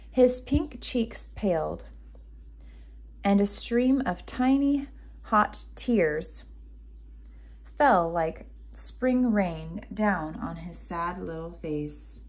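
A woman narrating a children's storybook like a kindergarten teacher, with deliberate, expressive phrasing for young listeners. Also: medium pitch. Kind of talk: read-aloud storybook narration.

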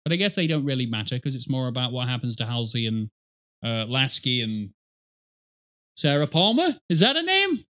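A man's voice: mid-range voice, very animated, moderate pace, bright, forceful tone. A middle-aged man giving casual gaming commentary as a reaction host, rambling, sarcastic and sweary, close on the mic in a small room.

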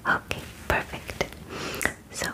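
Young woman doing a calm alien examiner for asmr roleplay, all whispers, really slow n soothing, formal wording. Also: lightly acted, poor audio. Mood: gentle, reassuring. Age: young adult. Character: gentle, clinical female alien examiner, calmly reassuring. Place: bedroom set, indoors. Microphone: close ASMR microphone.

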